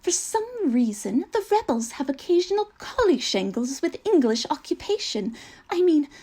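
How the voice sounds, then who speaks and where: A woman playing a naive, prim young Victorian English gentlewoman in a mannered, comic theatrical monologue, speaking her letter with arch, genteel earnestness. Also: sits high, very animated, normal speed. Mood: prim, earnest.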